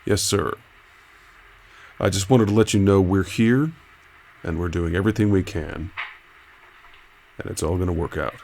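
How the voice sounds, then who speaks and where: An actor playing a careful radio reporter in an audio drama, negotiating in a halting, reassuring, weary manner. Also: male voice, low voice, darkish tone, slight background noise. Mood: gentle, reassuring. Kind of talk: naturalistic audio drama acting.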